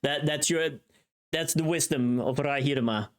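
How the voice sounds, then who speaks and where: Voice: mid-range voice, a little slow. A streamer chatting casually on a livestream in a joking, sweary manner. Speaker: streamer making a voice pack.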